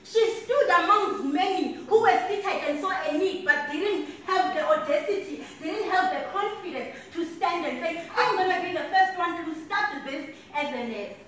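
High-voiced young South African woman in an echoey hall. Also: expressive, normal speed, few pauses, a bit bright. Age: 20s. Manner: emphatic, preacherly repetition; walks about the floor, gesturing as she speaks. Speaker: motivational speaker. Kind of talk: keynote speech to a conference room. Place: large conference hall, indoors. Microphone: handheld mic, heard from a distant camera. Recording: echoey hall audio from far off with background noise.

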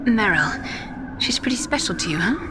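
An actress playing a composed female scientist on a military support team in a video game, speaking softly. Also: medium pitch, animated, normal speed, noisy background, lightly acted. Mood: probing. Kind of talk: video game radio dialogue. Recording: game audio with music behind.

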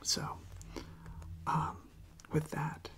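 A young man speaking in a hushed, breathy, mostly whispered ASMR style, unhurried and halting, close to the microphone. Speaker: ASMR video creator. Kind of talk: soft-spoken ASMR.

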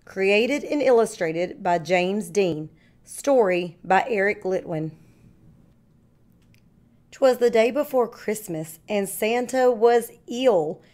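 A woman reading a rhyming picture book aloud to young children like a kindergarten teacher, with measured, deliberate phrasing. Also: medium pitch, expressive, a little mellow.

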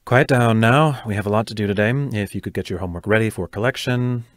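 A man's voice: on the low side, fast talker, dry recording, natural voice. An actor playing a stern, strained male schoolteacher in an audio play, formal and clipped in his delivery. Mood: brisk, businesslike. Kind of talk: audio drama acting.

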